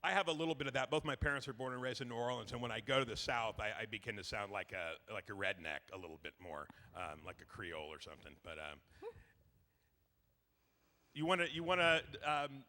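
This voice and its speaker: A man's voice: medium pitch, lively intonation, brisk, pushed and bright. A man moderating a convention panel, chatty and joking with many fillers. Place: large convention hall. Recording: reverberant hall with faint noise.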